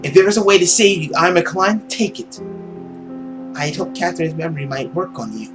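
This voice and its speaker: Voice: medium pitch, brisk, music in the background, voice pushed up, close to an everyday voice. A performer voicing a resolute, sorrowful woman servant pleading with her master in a dramatic visual novel reading.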